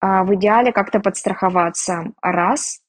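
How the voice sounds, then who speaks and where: A woman's voice: medium pitch, moderate pace. A woman from Russia chatting frankly and casually in an interview, joking about herself. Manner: frank, chatty, self-mocking. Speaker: interviewee, emigrant. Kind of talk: candid casual chat.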